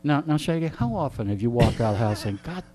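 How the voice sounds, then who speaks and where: Animated man telling comic stories, hum behind. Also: mid-range voice, quick pace. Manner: jokey, deadpan storytelling with rhetorical repetition. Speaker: radio host being interviewed. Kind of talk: animated comic anecdotes in conversation.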